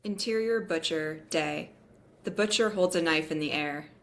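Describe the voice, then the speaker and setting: A woman's voice: deep voice, moderate pace, a bit bright. A woman reading the stage directions of a script aloud as scripted narration at a table read.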